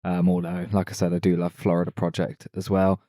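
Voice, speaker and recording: A man's voice: deep voice, monotone, brisk, warm tone, no room sound, studio quality. A man co-hosting a film podcast, talking casually about movies.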